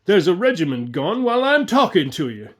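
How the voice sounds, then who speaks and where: A man's voice: sits high, big pitch swings, brisk, voice pushed up. A man voicing a British soldier who bellows in amazement during a battle. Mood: amazed.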